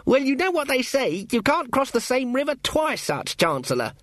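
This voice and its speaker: A man's voice: very high voice, sing-song, normal speed, a bit bright, no echo, studio quality, voice pushed up, full character voice. A man voicing a young, nervous, fussy academic wizard with a querulous manner in a storybook. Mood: impatient.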